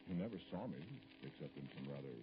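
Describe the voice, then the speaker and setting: An actor playing an aggrieved, dignified politician in an old radio drama, speaking formally and hesitantly in a gravelly voice. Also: male voice, animated, music in the background. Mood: wounded, indignant. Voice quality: very deep, gravelly. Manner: hesitant, formal, pointed, with pauses. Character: aggrieved middle-aged male politician, dignified, brooding, addressing a caller. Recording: noisy, dull vintage radio recording.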